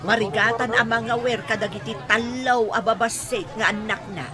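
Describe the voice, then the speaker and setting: A woman's voice: fairly high pitch, fairly even intonation, moderate pace, close to an everyday voice. A woman acting an older village mother-in-law in a radio drama, chatty, exclaiming and exasperated. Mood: chatty, pitying.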